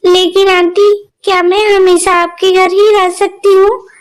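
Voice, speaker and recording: Sad little girl, tearful and begging, very high; a woman plays her. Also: level tone, measured pace. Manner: pleading and tearful.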